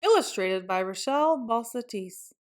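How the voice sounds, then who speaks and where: A woman's voice: on the high side, lively intonation, normal speed. A woman reading a children's picture book aloud as a storyteller. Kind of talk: scripted children's story narration.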